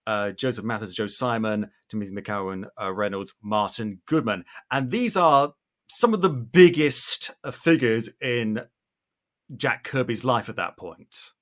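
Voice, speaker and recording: A man's voice: fairly low pitch, expressive, unhurried, fairly bright tone. A man from the UK, hosting an interview in a casual, effusive way and swearing freely. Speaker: podcast host. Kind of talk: casual, enthusiastic interview chat.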